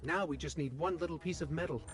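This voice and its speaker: A man voicing a small, chatty robot companion in a video game, an eager and wry helpful guide with an expressive, conversational delivery. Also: on the high side, fast, natural voice. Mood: wistful, wry. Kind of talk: video game voice acting, mission dialogue. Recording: game music under the voice. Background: game music.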